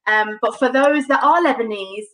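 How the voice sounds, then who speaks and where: A woman's voice: on the high side, moderate pace, fairly bright tone, faint music behind. A young woman from London with a London accent, presenting a casual live cooking demo from her home kitchen, chatty and full of ums. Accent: London English. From London, England. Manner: chatty, many ums, light laughs. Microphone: webcam on a video call. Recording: distant webcam mic in kitchen.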